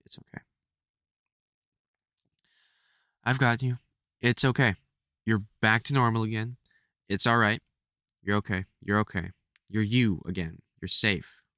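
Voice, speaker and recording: An actor playing a gruff, protective vampire hunter who swears and stammers under worry in an audio roleplay. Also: mid-range voice, steady tone, moderate pace, long pauses, pushed and bright, dry recording, clean studio sound. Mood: soothing. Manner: sweary, urgent, stammering when worried. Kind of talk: audio roleplay drama spoken to the listener.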